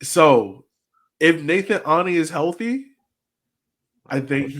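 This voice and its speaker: A man's voice: on the high side, lively intonation, takes it slowly, fairly bright tone. A man hosting a casual sports podcast, talking informally and thinking aloud as he rambles through his analysis. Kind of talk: casual soccer fan chat and analysis.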